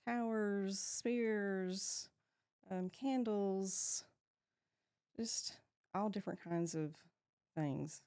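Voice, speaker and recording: A woman's voice: mid-range voice, drawn-out words, dark tone, clean tone, no echo, clean studio sound. A woman, chatting as an interview guest, laughing often and trailing off mid-sentence. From Texas, USA. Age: 50s. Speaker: guest, shop owner. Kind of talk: casual interview chat.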